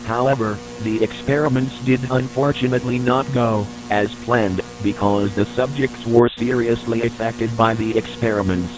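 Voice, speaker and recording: A man's voice: mid-range voice, steady tone, normal speed, hardly any pauses. A man reading a scripted, formal, matter-of-fact report as the narrator of an analog horror tape. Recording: noisy recording with electrical hum.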